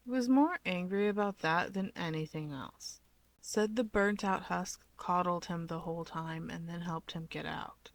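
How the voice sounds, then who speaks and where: A woman voicing a sleepy, blunt teenage boy in a story reading, slurring and mumbling in a deadpan way. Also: fairly low pitch, a little slow, no room sound. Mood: deadpan, needling.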